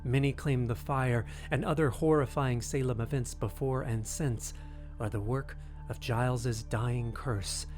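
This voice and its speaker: A man narrates in a measured, documentary style with an ominous storytelling tone. His voice sits at a middle pitch, with music clearly playing behind it. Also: fairly even intonation, a little mellow. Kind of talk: scripted documentary-style narration of ghost lore.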